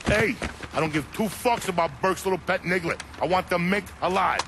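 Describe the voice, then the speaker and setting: An actor playing a vicious, crude, taunting mobster in a game. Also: male voice, high-pitched, fairly quick, heavy background noise. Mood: furious. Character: foul-mouthed, vicious Italian-American mobster, taunting and hateful. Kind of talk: game cutscene acting.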